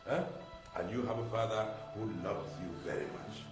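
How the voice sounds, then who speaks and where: A man's voice: fairly low pitch, moderate pace, lightly acted. An actor playing an overprotective, domineering middle-aged father in a screen drama, with a pompous, theatrical manner. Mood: doting, reassuring. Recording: roomy echo, music underneath.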